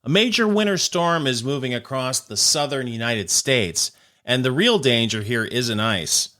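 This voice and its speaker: Lively, bright-voiced man with wry, punchy delivery. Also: mid-range voice, unhurried. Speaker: host of a short comedic news commentary show. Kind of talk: scripted humorous news commentary monologue.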